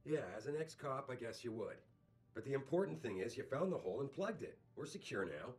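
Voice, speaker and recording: An actor playing an evasive, breezy corporate boss in a video game, deflecting and persuasive. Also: male voice, medium pitch, big pitch swings, fairly quick, darkish tone, soft background music. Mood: defensive, smooth. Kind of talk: video game cutscene acting.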